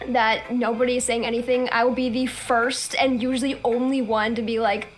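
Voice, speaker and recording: A woman's voice: fairly high pitch, level tone, moderate pace, soft background music. A woman co-hosting a casual livestream chat, blunt and giggly. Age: adult. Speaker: livestream co-host steering questions.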